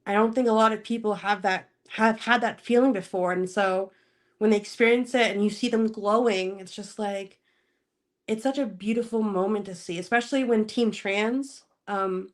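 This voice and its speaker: A woman from Spokane, Washington, speaking as a podcast guest in casual interview chat, laughing often and pausing to search for words. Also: medium pitch, steady tone.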